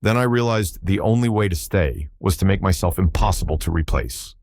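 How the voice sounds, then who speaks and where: A man's voice: sits low, lively intonation, a little fast, darkish tone, no room sound, studio quality. A man narrating a storybook voices a poised, guarded female communications manager with a clipped, clinical way of asking. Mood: soft.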